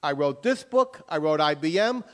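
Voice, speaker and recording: A male author speaks to an audience in an emphatic, forceful way. His voice sits a little high for a man. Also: lively intonation, moderate pace. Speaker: author giving a talk. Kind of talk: lecture with audience questions.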